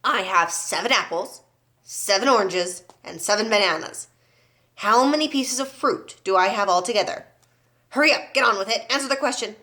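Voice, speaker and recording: A woman's voice: mid-range voice, animated, moderate pace. Woman voicing a furious, bullying storybook headmistress. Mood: snapping. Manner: shouting, barking insults at pupils. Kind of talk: children's storybook read aloud.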